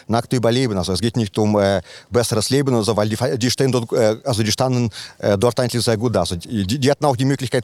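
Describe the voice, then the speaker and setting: A man from Ukraine, a volunteer helper, answering interview questions in a halting way with many fillers and restarts. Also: mid-range voice, a little mellow, no echo.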